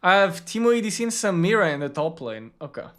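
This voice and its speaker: A young man whose first language is Greek, streaming a game and chatting casually with viewers, relaxed and full of fillers. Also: sits high, fast talker. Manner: relaxed, filler-heavy, lots of "like". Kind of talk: casual gaming commentary, answering chat.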